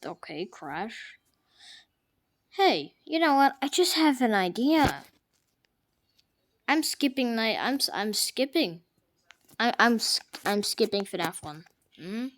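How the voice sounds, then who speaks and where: A woman giving jokey gameplay commentary, trash-talking the game characters and swinging from hushed mutters to sudden loud outbursts. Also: a little high, big pitch swings, slow, long pauses. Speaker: gamer.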